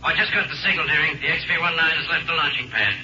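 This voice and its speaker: An American man playing a brisk, grave army general in a 1950s radio drama, businesslike and urgent. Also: on the high side, pushed and bright. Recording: dull, noisy 1950s radio recording.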